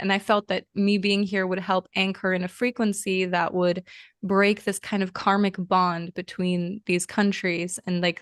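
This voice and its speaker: Smooth-voiced earnest young woman. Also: mid-range voice, dry recording, studio quality. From Lithuania and the US. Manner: earnest, meandering, many fillers. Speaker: podcast guest. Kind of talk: casual interview conversation.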